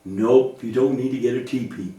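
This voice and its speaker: A man with a mellow, medium-pitched voice is heard at some distance in a roomy space. He explains things patiently and reassuringly, as the grown-up partner in a puppet show for children. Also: normal speed, highs cut off. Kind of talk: gentle scripted dialogue teaching children about faith. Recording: echoey room, distant microphone.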